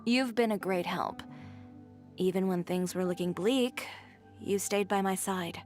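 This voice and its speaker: A woman's voice: mid-range voice, a little slow, a little mellow, soft background music, close to an everyday voice. Woman as a proud, dry-witted tailor. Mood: sincere. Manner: dry, confident, teasing. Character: proud, sharp-tongued adult woman tailor.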